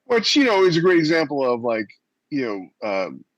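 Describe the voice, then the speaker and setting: A man's voice: fairly high pitch, wildly swooping pitch, normal speed, no room sound, lo-fi sound. A man co-hosting a podcast in casual, conversational panel discussion. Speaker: podcast co-host and indie author.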